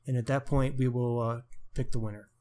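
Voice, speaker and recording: A man's voice: mid-range voice, steady tone, a little fast, dark tone. A man co-hosting a podcast, talking casually about crime books. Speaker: podcast co-host.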